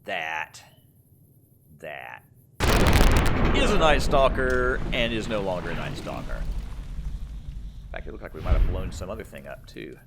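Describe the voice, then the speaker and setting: Low-voiced man, rambling game commentary. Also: very animated, unhurried, creaky, long pauses, very noisy. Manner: rambling, conversational, thinking aloud. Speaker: gamer, let's play host. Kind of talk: casual gameplay commentary.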